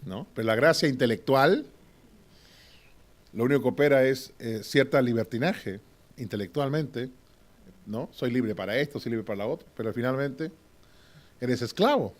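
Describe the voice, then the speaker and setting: Male Bible teacher, medium voice, animated sermon-style lecture. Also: medium pitch, moderate pace, long pauses. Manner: lively preaching, asks "amén o no?" to the class; swings from loud to hushed, with short laughs.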